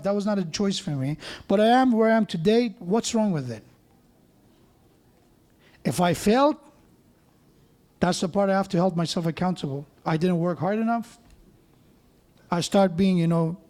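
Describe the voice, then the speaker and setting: A man's voice: high-pitched, dark tone. A man preaching a sermon in a mosque, conversational, with joking asides and frequent restarts.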